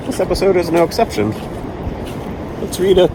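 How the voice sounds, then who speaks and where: A man's voice: sits high, sing-song, moderate pace, noisy background. A man hosting a children's storytime show, telling a playful adventure story in a theatrical manner. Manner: theatrical, acting out his own adventure.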